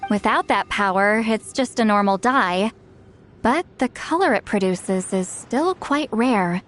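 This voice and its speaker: A woman's voice: medium pitch, lively intonation, unhurried, lightly acted. A woman playing a dry, knowing investigator. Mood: explaining.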